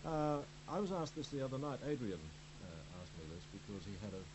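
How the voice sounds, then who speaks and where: An Australian man from Melbourne, a radio newsreader, answering interview questions in a halting way full of ums and uhs. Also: mid-range voice. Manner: hesitant, many ums and uhs. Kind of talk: studio interview chat. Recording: radio broadcast with steady hum.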